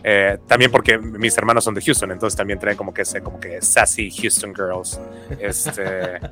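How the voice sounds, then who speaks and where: A man's voice: lowish voice, little pitch movement, moderate pace, bright, forceful tone, faint music behind. A man with a Mexican Spanish accent co-hosting a podcast, talking casually with lots of slang, fillers and restarts. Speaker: podcast co-host.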